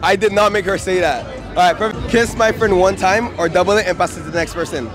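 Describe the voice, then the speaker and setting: A young man asking passers-by quick questions outdoors, teasing and playful, into a handheld mic. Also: high voice, over music, a crowd in the background. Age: young adult. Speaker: street interviewer. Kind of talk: casual street interview questions. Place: outdoors on a beach promenade and street.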